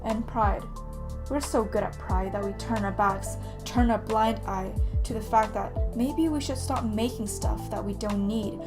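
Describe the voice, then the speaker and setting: A woman's voice: medium pitch, unhurried. Young woman performing slam poetry over music. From Canada. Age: late teens. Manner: earnest, emphatic, rhythmic delivery. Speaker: student slam poet. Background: background music.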